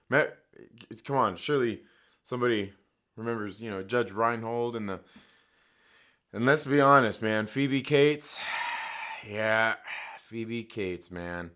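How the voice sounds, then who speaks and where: A man hosting a live stream, talking casually and profanely while his voice is hoarse, joking and reading chat aloud. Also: lowish voice, slow pace, long pauses, pushed and bright.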